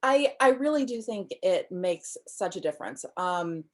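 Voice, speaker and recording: A woman in her thirties talks about her craft on a video call from a room at home, her voice sitting a little low. She explains things thoughtfully and with enthusiasm, pausing on ums as she works out her points. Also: expressive. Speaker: novelist guest. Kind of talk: casual interview chat.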